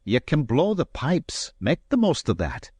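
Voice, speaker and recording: A man's voice: on the high side, huge pitch swings, a little mellow, slightly rough, dry recording, studio quality, pitched above the performer's own voice, exaggerated voice. Alan: a man voicing a proud swordsman. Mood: angry. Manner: touchy, boastful, quick to anger. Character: proud hot-tempered Highland swordsman, adult man.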